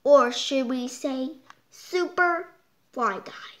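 A child reading a picture book aloud, halting and stumbling over words, with exclaimed side comments. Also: sits high, fairly bright tone. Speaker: storytime reader. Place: indoors, hands holding book before the camera.

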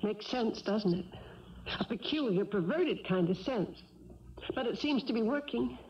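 High-voiced, suspicious wife. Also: female voice, expressive, unhurried. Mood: cool suspicion. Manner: pointed, insinuating questioning. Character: shrewd, suspicious wife, coolly probing. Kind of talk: 1970s radio drama acting. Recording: dull vintage radio broadcast, faint noise.

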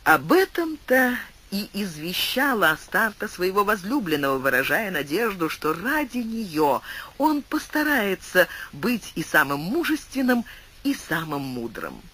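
A male audiobook narrator with a higher, bright voice. His reading is dramatic and animated, swinging up and down in pitch and loudness as he tells a classic tale. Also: measured pace, breathy edge. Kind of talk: expressive literary narration.

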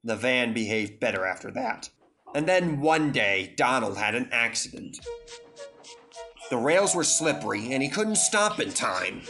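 A man's voice: mid-range voice, big pitch swings, measured pace, over music. A man reading a scripted children's story as the narrator.

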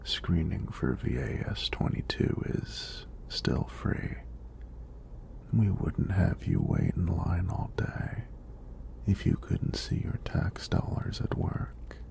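A man playing a friendly, teasing EMT in a soft-spoken ASMR roleplay, with a deep, breathy, gravelly voice and an unhurried, reassuring manner. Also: animated, exaggerated voice. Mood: calm, attentive. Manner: unhurried, reassuring, lightly playful. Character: friendly, teasing young male EMT doing a screening.

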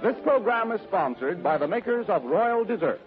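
An old, dull-sounding 1930s radio broadcast of a male announcer. His voice is high and swings widely in pitch. He delivers formal introductions and sponsor copy. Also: big pitch swings, normal speed, over music.

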